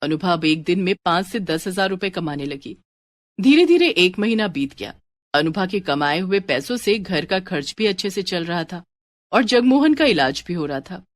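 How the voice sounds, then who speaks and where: A woman's voice: on the low side, lively intonation, normal speed, no room sound. A woman storyteller reading a scripted story as narration.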